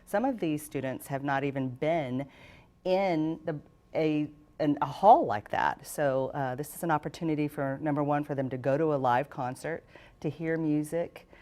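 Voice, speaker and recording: Low-voiced woman giving an interview. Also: moderate pace, warm tone. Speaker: guest, concert organizer. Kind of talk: live TV interview talk. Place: TV studio.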